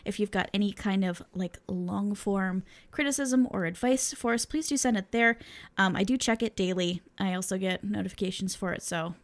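A woman's voice: medium pitch, moderate pace. A young woman co-hosting a podcast, chatting casually with lots of fillers and laughs, swearing freely. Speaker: podcast co-host.

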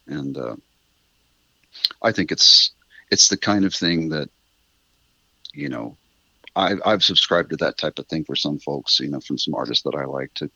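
A man's voice: a bass voice, sharp tone, no room sound, muffled recording. A middle-aged man chatting reflectively as an interview guest, rambling thoughtfully and correcting himself as he goes. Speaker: interview guest, writer of a radio drama. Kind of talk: casual reflective interview chat.

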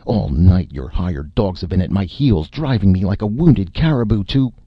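A man's voice: low-pitched, big pitch swings, a little slow, vocal fry, slightly rough, no echo, dull audio, full character voice. A man voicing a cowardly male writer in a story, nervous and defensive, blustering with feeble bravado. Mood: nervous, paranoid.

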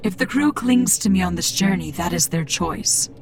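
An actress playing a cold, haughty machine-cult priestess who speaks in grave, deliberate pronouncements in a sci-fi audio drama. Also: female voice, lowish voice, expressive, creaky, background noise. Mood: bleak, weary. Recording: dramatic music under the voice. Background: music.

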